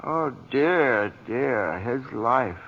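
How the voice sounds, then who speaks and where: A man's voice: medium pitch, animated, full character voice. An older man playing an eager, hesitant, very old angel in a 1940s radio drama. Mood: hesitant, concerned. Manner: hesitant, slow, deferential. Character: eager, bumbling, polite two-hundred-year-old angel awaiting his wings. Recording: noisy, dull 1940s radio, long reverb.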